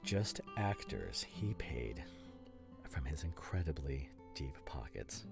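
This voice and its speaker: Man acting a deep-voiced, smug, theatrical sleuth. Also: sing-song, vocal fry, over music, exaggerated voice. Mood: coolly condemning. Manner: grandiose, deliberate, dramatic pauses. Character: smug, theatrical male amateur detective unmasking a culprit. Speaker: voice actor in an audio roleplay. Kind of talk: theatrical scripted ASMR roleplay monologue.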